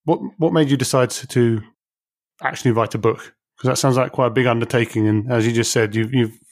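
A man's voice: medium pitch, steady tone, brisk, no room sound, clean studio sound. A man hosting an interview, asking long conversational questions with light jokes and fillers. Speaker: podcast host.

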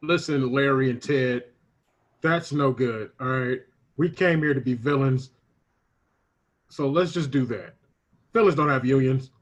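A man's voice: mid-range voice, a little slow, pauses often, natural voice. A man improvising a pushy supervillain scarecrow who wants to lead and recruit, in a comedy sketch. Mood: bossy.

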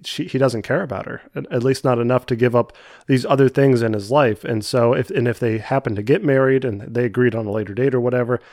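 A young man with a cold-congested voice hosts his own faith podcast, speaking earnestly and often stumbling back over a word before pushing on. His pitch sits in an ordinary middle range. The recording is dry and close, with no room sound around him. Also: a little mellow, no echo. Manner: earnest, with frequent restarts and repeated words. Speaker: podcast host. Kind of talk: solo devotional podcast talk.

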